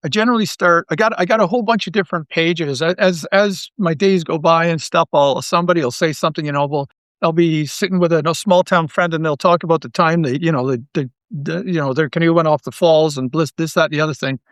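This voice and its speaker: A man from Canada with a somewhat high voice, recorded very dry. He talks casually as a guest being interviewed, telling things in an animated, rambling way and often repeating a phrase before moving on. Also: fast talker, dry recording. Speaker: interview guest, audio drama writer.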